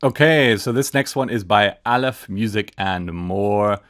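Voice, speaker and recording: A man's voice: on the low side, measured pace, a bit bright. A man in his thirties with a German accent, answering viewer questions about acoustics in a casual, enthusiastic way with plenty of fillers and restarts. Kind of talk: casual explanatory talk. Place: home studio room with acoustic treatment, indoors. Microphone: studio microphone on a stand in front.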